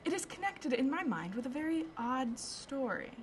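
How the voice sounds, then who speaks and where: A woman's voice: high voice, big pitch swings, normal speed, slight background noise. A woman playing a well-known Victorian lady about town, recounting events dramatically in a radio drama. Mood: conversational, intrigued. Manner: dramatic storytelling, emphatic.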